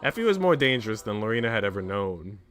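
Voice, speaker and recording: Man, streamer, lively swooping delivery, narrating game text with casual asides, music underneath. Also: fairly low pitch, quick pace. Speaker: streamer playing a visual novel. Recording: music playing behind the voice.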